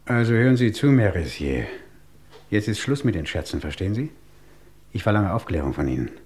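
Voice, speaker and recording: Low-voiced, exasperated police inspector, male. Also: very animated, a little fast, a little mellow. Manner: brusque, insistent, official. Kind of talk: vintage German radio crime drama acting.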